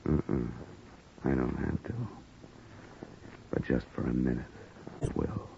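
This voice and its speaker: An American actor playing a cynical, wisecracking hard-boiled insurance investigator in an old radio noir drama, with sardonic, clipped slang. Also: male voice, rumbling low, fairly even intonation, takes it slowly, vocal fry, full character voice. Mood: low, sardonic. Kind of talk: 1950s radio noir acting and narration. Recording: muffled, noisy old radio recording.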